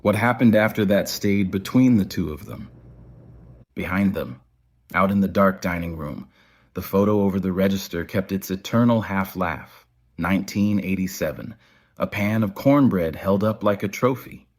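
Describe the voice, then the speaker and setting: A man narrating a scripted audio story in a measured, literary storytelling manner. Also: sits low, darkish tone.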